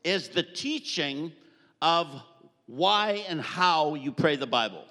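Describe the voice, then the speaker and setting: Middle-aged male preacher, light higher voice, jovial, hall reverb. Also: lively intonation, pushed and bright. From Canada. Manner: jovial, joking, pausing for translation. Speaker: visiting preacher. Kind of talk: sermon with live interpreter. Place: church hall.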